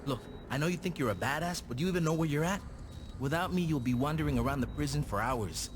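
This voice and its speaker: Game role: young male convict played by a man, earnest wannabe gangster, higher voice, quick insistent delivery, noisy background. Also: expressive, natural voice. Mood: cocky persuading. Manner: earnest, insistent, fast-talking. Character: eager, earnest young male prison inmate, wannabe gang recruit. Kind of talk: video game voice acting. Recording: game audio over vehicle and ambient noise.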